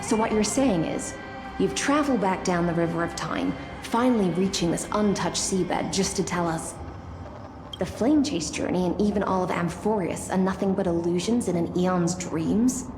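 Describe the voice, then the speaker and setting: An actress playing an aloof, poised female knight of the deep sea in a video game, speaking in a measured, formal, coolly wry way. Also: close to an everyday voice. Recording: echoey voice over background music.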